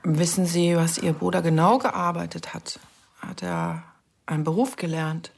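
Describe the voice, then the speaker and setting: An actress playing a patient, probing police detective in a radio crime drama, measured and questioning, with a husky voice. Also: female voice, lowish voice, a little mellow.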